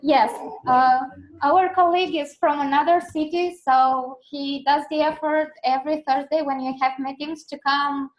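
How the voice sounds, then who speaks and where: A woman's voice: high-pitched, steady tone, moderate pace, highs cut off. A young woman with a Romanian accent hosting a student club meeting, speaking hesitantly with many fillers. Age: young adult. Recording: echoey room, faint noise.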